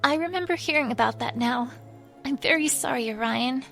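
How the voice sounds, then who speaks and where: A woman voicing a gentle young pony princess in a fan audio drama, hesitant, apologetic and stammering. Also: high voice, moderate pace, vocal fry. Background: music.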